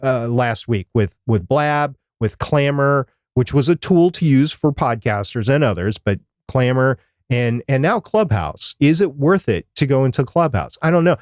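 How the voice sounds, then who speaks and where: A man's voice: mid-range voice, lively intonation, moderate pace, few pauses, dry recording, studio quality. A man speaking as a podcast co-host in a casual, conversational chat.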